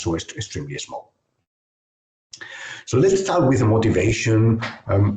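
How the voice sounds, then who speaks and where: A man from Spain with a Spanish accent, a university professor giving a technical webinar lecture in a measured, careful way with frequent hesitations. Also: on the low side, a little mellow. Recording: echoey online call audio.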